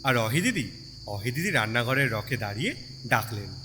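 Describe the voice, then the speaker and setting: A man narrating a story in an expressive, dramatic storytelling style with sympathy in his delivery. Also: mid-range voice, normal speed. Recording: long reverb, background night insect noise.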